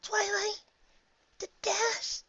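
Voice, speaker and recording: Man as a fragile, very high-pitched female pony. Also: monotone, very slow, pushed and bright, no echo, lo-fi sound, heavily acted. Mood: grief-stricken. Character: gentle, timid young female pony in grief.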